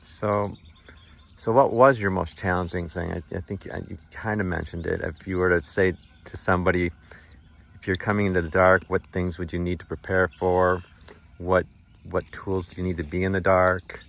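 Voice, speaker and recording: A man interviewing someone outdoors, asking halting questions that he keeps rephrasing. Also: low-pitched, level tone, gravelly, a bit bright, slight background noise.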